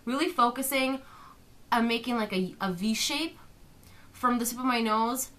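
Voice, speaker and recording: Young woman vlogger with a slightly hoarse voice. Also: on the high side, normal speed, a bit bright. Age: young adult. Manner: chatty, explains each step while applying makeup. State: slightly hoarse from a sore throat. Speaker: beauty vlogger. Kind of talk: makeup tutorial chat. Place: bedroom. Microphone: webcam at desk distance.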